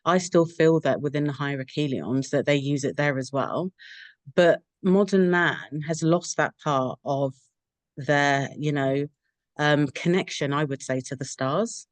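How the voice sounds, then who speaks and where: A woman's voice: deep voice, unhurried, no echo. A woman hosting an interview podcast, who asks long, rambling questions with fillers. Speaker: podcast host.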